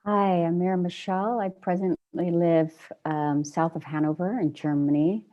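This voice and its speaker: Woman with a really low, dark voice, slow and reflective, kinda muffled audio. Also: steady tone. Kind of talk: casual introduction in an online meeting.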